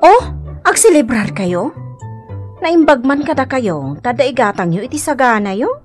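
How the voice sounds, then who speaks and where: A woman's voice: high voice, big pitch swings, normal speed, faint music behind. A woman playing a friendly, inquisitive neighbour in a radio comedy drama. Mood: friendly, curious.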